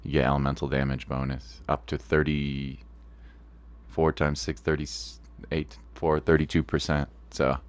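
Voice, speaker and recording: A man's voice: rumbling low, little pitch movement, measured pace. A man hosting a gaming livestream, chatting casually and thinking aloud in an informal, rambling way as he comments on his play. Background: game music.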